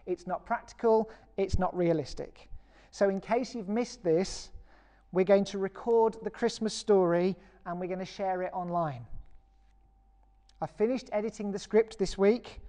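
British woman preaching, mellow voice. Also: mid-range voice, a little slow. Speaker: parish vicar preaching. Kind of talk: recorded sermon.

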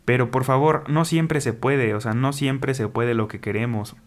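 A man's voice: medium pitch, normal speed. A man giving an earnest tarot reading, repeating his advice and pleading directly with his viewers. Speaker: tarot reader. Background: soft background music.

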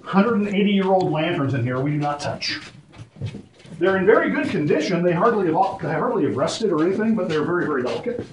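A man's voice: sits high, sing-song, a little fast. A man leading a cave tour, chatty and joking as he gives history and safety warnings. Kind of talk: guided tour talk. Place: inside a large echoing cave. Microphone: camera carried by a visitor, guide off to the side. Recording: echoey cave, distant voice, noisy.

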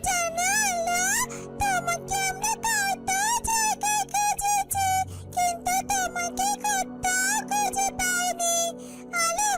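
A performer voicing a small, worried, devoted creature in a fairytale cartoon, with a plaintive, drawn-out delivery. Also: extremely high pitch, level tone. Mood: relieved, plaintive. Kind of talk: Bengali fairytale cartoon dubbing. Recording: music behind the voice.

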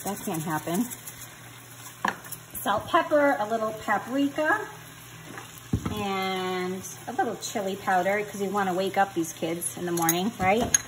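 A woman's voice: mid-range voice, expressive, measured pace, pauses often. A middle-aged woman casually demonstrating a recipe in her home kitchen, chatty and joking at her own expense. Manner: chatty, joking, self-teasing. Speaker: home cook vlogger. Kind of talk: casual cooking demo. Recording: noisy kitchen with sizzling pan.

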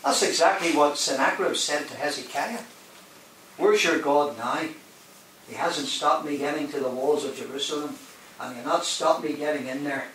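A man's voice: fairly high pitch, fairly bright tone. A man preaching a sermon in a large hall, emphatic, rising and dropping his voice and questioning the congregation. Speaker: guest preacher. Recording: very echoey hall, mic at a distance, faint hiss.

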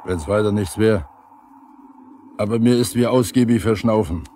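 An actor playing a stout, weary, stubborn older brigade leader with gruff colloquial speech in a 1970s East German radio drama. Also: male voice, lowish voice, moderate pace, mellow, natural voice. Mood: weary, grudging. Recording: noisy 1970s vinyl radio play.

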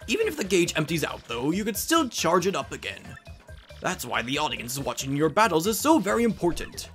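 A man's voice: fairly high pitch, big pitch swings, a little fast, fairly bright tone. A man voicing an earnest old professor creature from a video game, teaching battle moves in an instructive, courteous way. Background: game music.

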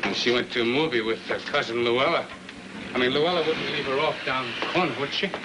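Man acting a halting American father, noisy old soundtrack. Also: mid-range voice, close to an everyday voice. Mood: defensive, halting. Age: middle-aged. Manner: halting, hesitant, with trailing tag questions. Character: evasive, nagging, weary middle-aged father of a teenage son. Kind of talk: 1950s film drama acting.

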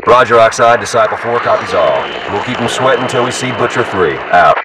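Casting: man as steady, matter-of-fact soldier, mid-pitched, radio-filtered, very noisy distant transmission. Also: fairly quick. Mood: businesslike. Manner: procedural, matter-of-fact, terse. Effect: military radio filter. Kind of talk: clipped military radio chatter.

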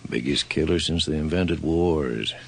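A sinister old trainman in a radio horror play, with a bottomless, crackling gravel voice. He drawls slowly and folksily, like a sly stranger offering a dark bargain, husky and swinging in tone. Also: full character voice. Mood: folksy, unbothered. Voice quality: very deep, gravelly. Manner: folksy rustic drawl, unhurried. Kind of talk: radio horror drama acting.

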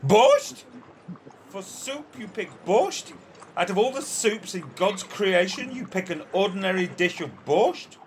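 A man's voice: sits high, very animated, unhurried, slight background noise, full character voice. A man acting in an amateur radio play as an argumentative, courteous admiral who relishes every word, theatrically. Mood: incredulous. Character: opinionated, food-loving old-fashioned military admiral, courteous and argumentative.

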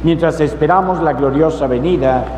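A middle-aged man, a priest praying the Mass in a measured, solemn liturgical delivery. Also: fairly high pitch. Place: large church, at the altar. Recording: echoey basilica, long reverb, loud noise.

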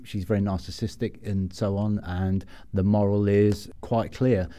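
A man's voice: fairly low pitch, little pitch movement, a little slow, dark tone. A man hosting a podcast, asking questions and chatting casually in an interview.

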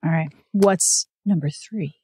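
A woman's voice: sits low, measured pace, warm tone, breathy edge, no room sound. A woman hosting a podcast, chatting casually with a guest as she interviews. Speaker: podcast host.

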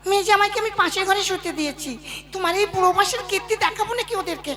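A woman's voice: very high voice, very fast. A woman playing a stubborn older wife and mother in a comic puppet play, nagging in a declamatory way. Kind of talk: comic Bengali puppet theatre acting. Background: loud accordion music.

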